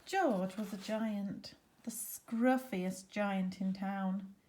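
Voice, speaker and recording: A woman's voice: medium pitch, drawn-out words, noisy background. A woman narrating a children's story expressively, like a teacher, with chatty asides to her listeners. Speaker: teacher reading a picture book.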